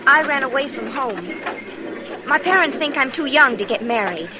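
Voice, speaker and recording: High-voiced, naive frontier girl. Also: animated, normal speed. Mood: confiding, earnest. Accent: American. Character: naive, polite, timid seventeen-year-old girl. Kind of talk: 1950s radio western acting. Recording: noisy old radio drama recording.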